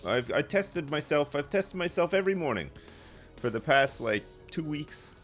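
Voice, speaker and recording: A man's voice: on the high side, animated, moderate pace, faint music behind. A man in his thirties streaming a game live, phlegmy and rambling as he answers chat. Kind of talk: casual live gameplay chat.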